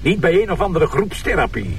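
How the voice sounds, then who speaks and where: An actor playing a gruff, impatient police commissioner in a TV crime drama, blunt and sarcastic, with a Flemish accent. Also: male voice, sits high, sing-song, fairly quick, far from the mic, a little hiss or hum. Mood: irritated, scornful. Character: gruff, irritable male police commissioner with a sharp tongue. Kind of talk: naturalistic TV crime drama acting.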